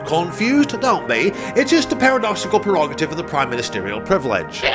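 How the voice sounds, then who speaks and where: A man playing a smug, glib Prime Minister from the north of England with a Mancunian accent, giving a pompous, self-congratulatory satirical speech. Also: sits high. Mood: smug, chirpy. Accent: Northern English, Mancunian. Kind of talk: satirical political speech monologue.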